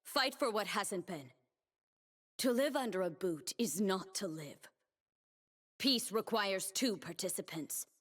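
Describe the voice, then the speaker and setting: Solemn young warrior woman from a video game, slow and measured, dead set on her cause. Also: medium pitch. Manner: measured, earnest, resolute delivery. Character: solemn, determined young woman warrior, a graceful blade dancer. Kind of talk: scripted video game character voice lines.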